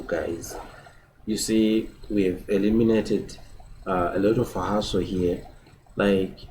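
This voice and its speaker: Young man, deep low voice, unhurried, repetitive "right?", keyboard typing behind. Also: background noise. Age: 20s. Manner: repeats words and adds "right?" often. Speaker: programming tutor. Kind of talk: casual screen-recorded coding tutorial.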